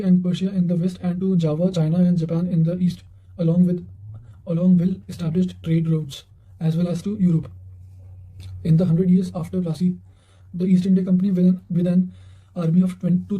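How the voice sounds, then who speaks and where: A man with a high-pitched voice and a very dark, soft-edged tone reads study material aloud. His delivery is careful and flat in intonation, with small stumbles over words as he goes. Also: low-quality recording. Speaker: reader of history study notes. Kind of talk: reading quotations aloud for exam revision.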